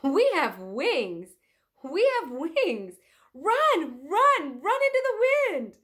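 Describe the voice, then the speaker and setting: Woman as exuberant male artist, storybook character, awestruck, exclaiming, raised pitch, very lively. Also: drawn-out words, exaggerated voice. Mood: elated. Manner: exclaiming, shouting with delight. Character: exuberant, awestruck grown man, a great artist.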